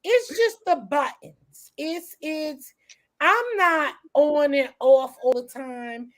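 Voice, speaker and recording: A woman with a slightly hoarse voice, chatting casually and calling everyone girl. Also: high-pitched, slow. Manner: drawling, calls everyone girl, lively laughs. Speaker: guest in a live chat. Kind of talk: casual gossipy chat.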